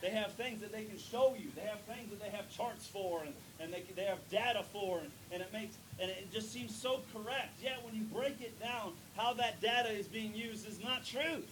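Preacher with a higher voice, rumbly noisy recording. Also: male voice. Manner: builds to loud emphatic preaching with drawn-out stresses. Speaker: pastor. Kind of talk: sermon with prayer and scripture reading. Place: church sanctuary.

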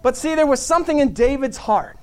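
A man preaching a fervent sermon, peppering his lines with amen and hallelujah and building to shouts. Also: very high-pitched, lively intonation, brisk.

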